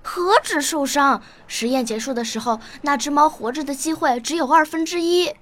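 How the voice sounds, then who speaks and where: A woman acting a bright, eager, bookish nine-year-old girl in a radio drama. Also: high voice, moderate pace. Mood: earnest.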